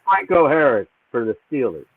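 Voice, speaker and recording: A man's voice: medium pitch, very animated, normal speed. Easygoing man on a tinny line. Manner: asking questions, easygoing. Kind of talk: casual podcast banter. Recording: tinny phone-like remote line.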